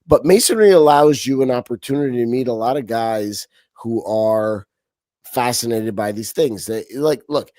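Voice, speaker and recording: A man's voice: medium pitch, normal speed, a little mellow, no room sound, studio quality. A middle-aged man from Romania, a podcast guest talking casually and animatedly, with frequent restarts and fillers. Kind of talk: casual interview talk.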